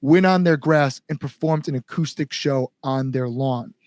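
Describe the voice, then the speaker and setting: A man's voice: mid-range voice, moderate pace, a little mellow, no echo. An American man speaking as an interviewed band front-man, casual and earnest, with informal phrasing. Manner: earnest, informal with mild swearing.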